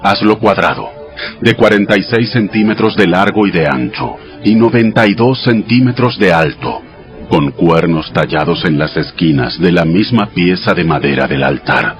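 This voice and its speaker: A man playing God as a solemn, commanding male deity, delivering measured commands in a dramatized audio scripture. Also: sits low, expressive. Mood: solemn, instructive. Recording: music behind the voice. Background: music.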